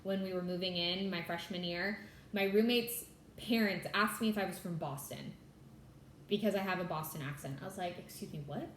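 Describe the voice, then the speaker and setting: A woman's voice: fairly low pitch, moderate pace, echoey room, slight background noise, rough recording. A young adult woman from California, chatting casually to camera as the host of a stitching vlog, bubbly and full of fillers like "like" and "y'all". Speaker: Flosstube host.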